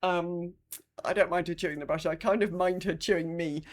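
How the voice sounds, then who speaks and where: A woman's voice: on the low side, steady tone, normal speed. A woman with a British accent chatting casually as a podcast co-host, with a wry, dry sense of humour. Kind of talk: casual chat.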